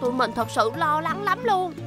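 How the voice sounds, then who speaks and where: A woman's voice: high-pitched, animated, normal speed, over music. A woman voicing a cute, earnest young rescue vehicle in a children's cartoon dub. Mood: worried.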